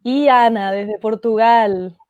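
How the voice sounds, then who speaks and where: A woman hosting an interview on a video call, upbeat and quick to react. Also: fairly high pitch, fairly even intonation, smooth, no room sound, dull audio. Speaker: host.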